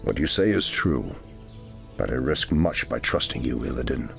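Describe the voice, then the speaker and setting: A stern, commanding warrior leader, low and gravelly. His grave, formal delivery swings widely in pitch, as distrustful fantasy game dialogue. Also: animated, moderate pace, faint music behind, heavily acted. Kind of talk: video game cutscene voice acting.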